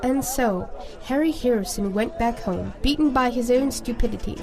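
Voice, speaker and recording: A woman narrating a comic fairy tale as a wry, deadpan storybook teller. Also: on the high side, moderate pace, over music, crowd noise, natural voice. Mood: wry storytelling. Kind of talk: scripted narration.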